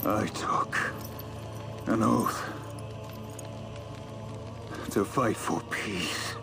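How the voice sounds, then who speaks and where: Honor-bound knight, gravelly, slow, reproachful, game cutscene. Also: male voice, fairly low pitch, heavily acted. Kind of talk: video game cutscene acting. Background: score music.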